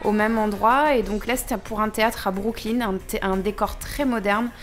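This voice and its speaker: A woman's voice: medium pitch, steady tone, moderate pace, faint music behind. A woman with a mid-range voice speaks as a guest on a radio programme. She describes her work in a casual, conversational style. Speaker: set decorator, radio guest. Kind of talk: casual interview chat. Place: radio studio.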